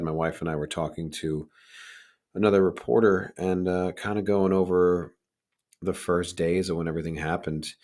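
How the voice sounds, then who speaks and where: Podcast host, male, deep raspy voice worn hoarse, talking candidly and informally on his own. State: hoarse, recovering from lost voice. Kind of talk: casual solo podcast monologue.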